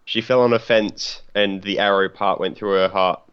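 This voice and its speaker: A man takes part in a casual tabletop game. His voice is low and smooth, and he answers in short, matter-of-fact statements. The recording is a little dull and lo-fi. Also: steady tone, normal speed, fairly bright tone. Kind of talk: casual roleplay chat.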